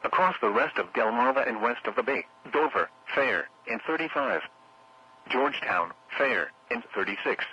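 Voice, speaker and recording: A man's voice: medium pitch, animated, moderate pace, sharp tone, slightly rough, dull audio. A synthetic text-to-speech man reading a scripted weather forecast over the radio as an automated announcer, in a formal, clipped, evenly phrased way. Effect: radio broadcast.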